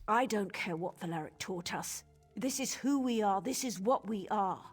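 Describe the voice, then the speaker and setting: A woman playing a starved young female vampire in a video game, husky and pleading, with dramatic intensity. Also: mid-range voice, animated, normal speed, soft background music. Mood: desperate, defiant. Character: young female vampire, starved, guilt-ridden and desperate for blood.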